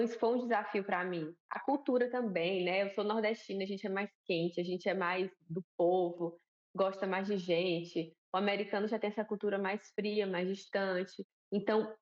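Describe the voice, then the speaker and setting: A woman's voice: mid-range voice, lo-fi sound. A woman from Alagoas with a Northeastern Brazilian accent, a guest in a TV interview, talking in a chatty, conversational way with many tag questions. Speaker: guest, missionary and pastor's wife.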